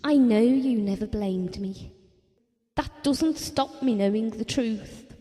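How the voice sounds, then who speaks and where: A fragile mother clinging to her lost daughter, in an intimate audio drama. The voice is a little high and warm, haunted and reflective. It rings out in a long reverb. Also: slow pace. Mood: resigned. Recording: long reverberant hall sound.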